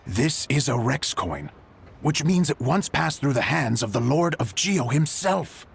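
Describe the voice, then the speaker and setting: A man's voice: mid-range voice, lively intonation, unhurried, creaky, breathy edge, exaggerated voice. An actor playing an eager, courteous man in a video game, with an effusive, earnest and polite way of talking. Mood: excited, explaining. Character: eager, courteous merchant man who admires lucky coins. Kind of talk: anime-style video game voice acting.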